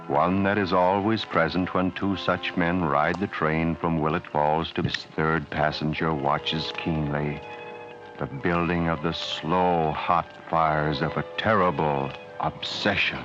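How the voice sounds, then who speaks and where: A man narrating a vintage radio horror drama in a deliberate, ominous manner. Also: very deep voice, fairly even intonation. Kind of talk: 1940s radio announcing. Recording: dull old radio broadcast with music.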